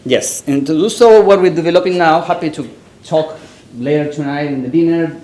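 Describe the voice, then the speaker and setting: A man's voice: on the high side, fairly quick, warm tone. A man from Chile with a Chilean Spanish accent, a native Spanish speaker presenting a research project at a conference, talking in a conversational way with frequent "right?" and "actually". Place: large echoey conference room. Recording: echoey hall with faint noise.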